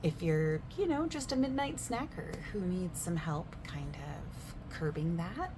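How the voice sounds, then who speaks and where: A woman in a soft-spoken roleplay as a gym receptionist, overexplaining the options in a chatty way and sometimes dropping to a whisper. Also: on the low side, expressive, a little slow, noisy background. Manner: chatty, overexplaining, with filler words; drifts between soft speech and whispers.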